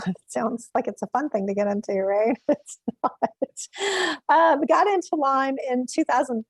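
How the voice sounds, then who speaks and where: A middle-aged woman with a Mississippi accent, a therapist answering interview questions thoughtfully with pauses. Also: high voice, slightly rough, dry recording. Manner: thoughtful, pauses to find words. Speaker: therapist guest.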